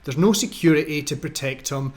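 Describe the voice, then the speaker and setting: A man's voice: a little high, fairly quick, darkish tone. A man with a Scottish accent delivering sarcastic, indignant political commentary as a channel host, in a mocking, ranting voice-over.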